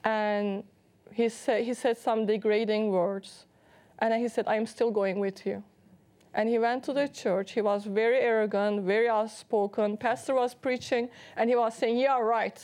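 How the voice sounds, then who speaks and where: A woman with a heavy Turkish accent tells her personal story as a talk show guest. Her voice sits fairly high, and her telling is earnest and often broken by laughter. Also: fairly even intonation, normal speed, a little mellow.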